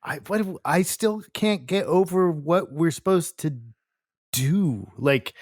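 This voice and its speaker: A man's voice: on the high side, animated, takes it slowly, dry recording, studio quality. A man playing a tabletop game, chatting casually in a bemused way and often restarting his sentences. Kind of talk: casual improvised role-play chat.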